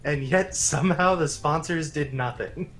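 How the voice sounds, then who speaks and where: A man chatting casually as co-commentator on a game stream, halting as he thinks aloud. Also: a little high, fairly bright tone, rough recording. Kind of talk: casual chat. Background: faint game music.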